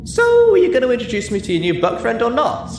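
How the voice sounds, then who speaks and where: Guy doing a cocky tipsy girl pegasus, way high voice, braggy and giggly, swoops around, storybook reading. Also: very animated, quick pace, echoey room, music in the background, full character voice. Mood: teasing, giddy. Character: brash, boastful, tipsy female pegasus pony.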